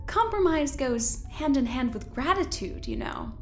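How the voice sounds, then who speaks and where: A woman playing a cool, controlling scientist in an audio drama, speaking in a measured, formal, pointed way. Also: high-pitched, wildly swooping pitch, a little mellow, heavily acted. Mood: smooth condescension. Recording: music under the voice.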